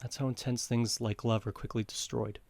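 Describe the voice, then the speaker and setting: A man's voice: mid-range voice, flat delivery, moderate pace, a little mellow, no room sound, natural voice. A man playing an ardent, reassuring young lover in an audio drama, earnest and theatrical in manner. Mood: earnest, wistful. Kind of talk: modernized Shakespeare audio drama acting.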